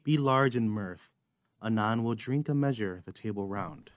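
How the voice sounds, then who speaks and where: A man playing a guilt-ridden usurper king in a Shakespearean dramatic reading, with measured, declamatory verse delivery. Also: on the low side, close to an everyday voice. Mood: hearty, hosting. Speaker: amateur actor in a library's dramatic reading.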